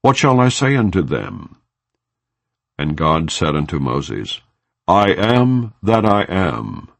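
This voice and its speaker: A man narrating scripture as an audiobook in a solemn, measured, formal manner, without dramatizing it. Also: low voice, big pitch swings, creaky, a bit bright, no echo.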